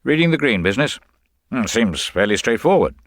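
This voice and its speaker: A man playing a brisk, confident public prosecutor in a detective radio drama, breezy and authoritative. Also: mid-range voice, big pitch swings, no echo, studio quality, pitched above the performer's own voice. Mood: dismissive.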